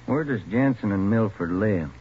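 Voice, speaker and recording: An actor as a low-voiced, terse police detective. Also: male voice, lively intonation, normal speed, a little mellow, natural voice. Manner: terse, matter-of-fact. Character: stern, plain-spoken male police detective partner. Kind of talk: 1940s radio drama acting. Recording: very noisy, muffled 1940s radio recording.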